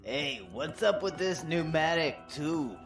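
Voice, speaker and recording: An actor playing a casual, bemused half-orc helper, in an audio drama. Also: male voice, a little high, slow, over music.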